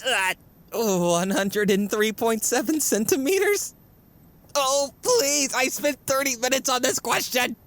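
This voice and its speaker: A man playing a guilt-ridden, defensive teenage son in a comic dub, hesitant and evasive, halting as he talks. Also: extremely high pitch, animated, fairly bright tone, full character voice. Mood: sheepish. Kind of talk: amateur comic dub voice acting.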